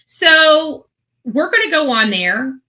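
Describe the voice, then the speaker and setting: A woman's voice: high voice, sing-song, slow pace, bright, forceful tone. A woman host talking casually and ramblingly to her online followers, earnest and emphatic, often repeating phrases for effect. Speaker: online video channel host.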